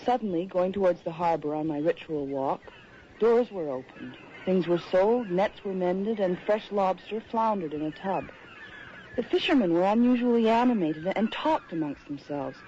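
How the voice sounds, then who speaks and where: A woman's voice: on the low side, expressive, moderate pace, warm tone, close to an everyday voice. An actress in a radio drama playing a moody, reflective woman telling her own story, on a dull, hissy recording. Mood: brooding, reflective. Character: moody, reflective city woman narrating her strange tale. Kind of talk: first-person radio drama narration.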